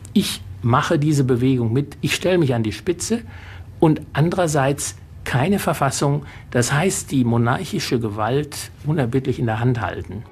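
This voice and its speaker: Male expert interviewee, average pitch, emphatic explanatory commentary, faint music. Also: a little mellow.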